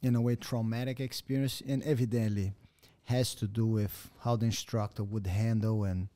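Low mellow-voiced host, halting phrasing. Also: male voice, fairly even intonation. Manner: searching, halting phrasing with non-native grammar. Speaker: podcast host and jiu-jitsu instructor. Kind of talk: interview conversation. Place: podcast studio in a martial arts gym. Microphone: boom-arm studio mic with headphones.

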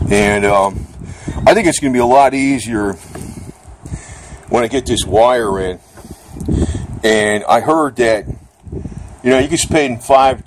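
A middle-aged man chatting casually and unscripted about gear, rambling in a plain-spoken, salty way. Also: lowish voice. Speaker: vlogger reviewing gear. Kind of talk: casual, unscripted product chat. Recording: steady background noise.

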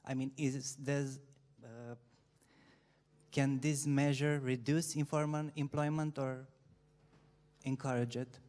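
A man, haltingly putting a question from the floor on a handheld microphone. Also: mid-range voice, flat delivery, darkish tone, in a large hall. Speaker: audience member asking a question. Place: seated in auditorium audience.

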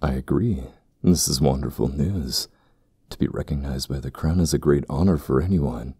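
An actor playing a stern, ambitious temple priest in a fantasy audio drama, speaking formally and gravely with a husky, gravelly voice. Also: male voice, rumbling low, normal speed, mellow, no echo, clean studio sound, heavily acted. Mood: proud, grave. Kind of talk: fantasy audio roleplay acting.

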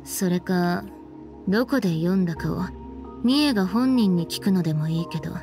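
A woman playing a cool, laid-back, sharp-witted young woman with a casual, blunt manner in an anime game. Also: fairly low pitch, expressive. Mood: probing. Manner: casual, blunt, unhurried, pointed questioning. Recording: background music under the voice.

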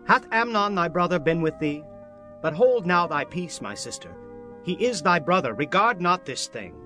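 A resolute prince in a scripture drama. He speaks formally and commandingly in a raised, widely varying pitch, with music beneath. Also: big pitch swings, normal speed, sharp tone. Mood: firm consoling. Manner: commanding, archaic formal speech. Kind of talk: dramatized audio Bible acting.

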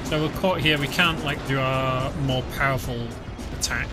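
A British man streaming a game, explaining it in a relaxed, filler-heavy casual commentary. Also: mid-range voice, moderate pace. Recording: game music behind.

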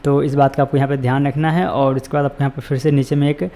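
A man's voice: mid-range voice, little pitch movement, quick pace, warm tone. A man narrating a screen-recorded walkthrough, giving repetitive step-by-step instructions as a voice-over. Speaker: tutorial host.

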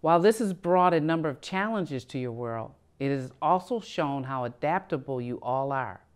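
A middle-aged woman delivers a formal commencement address from a podium. Her voice sits low and her delivery stays measured and prepared. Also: animated, clear, resonant tone. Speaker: college president giving commencement address. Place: studio in front of a backdrop.

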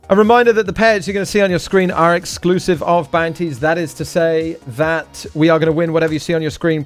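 Man commentating live poker over music. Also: on the high side. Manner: talks through hands aloud, repeats short catchphrases. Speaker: poker streamer and host.

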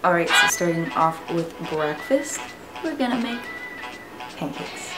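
Raspy-voiced young woman vlogging casually. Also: fairly low pitch, lively intonation, measured pace, loud music behind the voice, low-quality recording. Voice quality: raspy morning voice. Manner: chatty, rambling with fillers and restarts. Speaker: fitness vlogger. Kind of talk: casual vlog chat. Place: balcony, then kitchen and backyard. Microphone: handheld camera close up.

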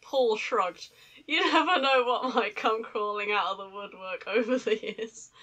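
A woman's voice: on the high side, measured pace, fairly bright tone. A woman co-hosting a podcast in casual chat, riffing playfully and swearing freely. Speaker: podcast co-host.

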